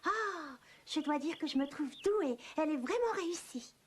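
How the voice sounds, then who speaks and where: An actress playing a proud, childlike little pig in a cartoon, boastful and expressive. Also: female voice, high-pitched, moderate pace, a little hiss or hum. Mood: proud, pleased. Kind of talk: cartoon dub acting. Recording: loud music behind the voice.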